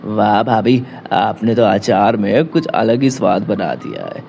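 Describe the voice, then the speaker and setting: A man's voice: on the low side, sing-song, measured pace, creaky, darkish tone, faint music behind, heavily acted. A man voicing an appreciative younger brother-in-law in a family cartoon. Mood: impressed.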